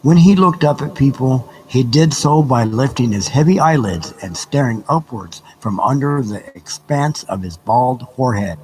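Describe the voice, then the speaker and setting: A man narrating an audiobook live in a deliberate, measured, dramatic reading style. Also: medium pitch, expressive, darkish tone, in a large hall, rough recording. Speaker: narrator and reader. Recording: live reading with background ambience noise. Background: ambient background sounds.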